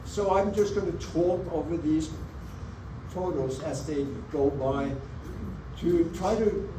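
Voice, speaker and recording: Norwegian-accented man presenting in an echoey hall. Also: fairly high pitch. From Norway. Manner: earnest, measured, stresses key words. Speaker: presenter at a community annual meeting. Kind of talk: presentation talk over slides. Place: meeting room with an audience. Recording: echoey meeting room, mic at a distance, audible background noise.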